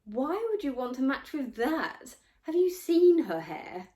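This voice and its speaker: Woman acting a gushing, high-pitched catty girl. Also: big pitch swings, measured pace, mellow, echoey room. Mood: scornful. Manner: sickly sweet, gushing, affected.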